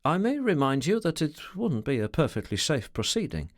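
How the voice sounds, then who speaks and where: A brooding, sardonic gentleman with formal, ironic phrasing, his voice pitched up and moving widely, as a man reading aloud acts him out. He speaks in a measured way. The sound is close and dry. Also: very animated, dry recording. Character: brooding, sardonic, educated middle-aged man.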